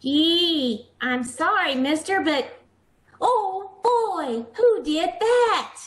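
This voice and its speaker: A woman's voice: very high-pitched, animated, drawn-out words, heavily acted. A woman playing an eager, inquisitive little neighborhood girl who gushes in childlike slang, in old-time radio comedy style. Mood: awed, admiring. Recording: roomy online call recording.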